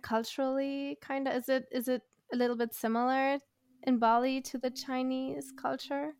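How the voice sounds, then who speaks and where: A woman's voice: high-pitched, flat delivery, normal speed, a little mellow, smooth, dry recording, studio quality. A woman hosting an interview podcast, asking hesitant questions and giving brief agreeing replies. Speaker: podcast host.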